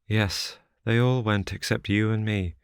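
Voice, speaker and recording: A man voicing a weary, hardened frontier adventurer who talks in rough, plain speech. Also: fairly low pitch, fairly even intonation, a little slow, no room sound, clean studio sound, voice pushed up.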